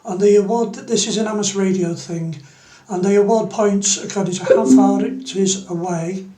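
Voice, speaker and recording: A man's voice: high-pitched, steady tone, moderate pace, warm tone, roomy sound. A man giving an unscripted screen-demo tutorial, explaining things step by step. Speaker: tutorial presenter.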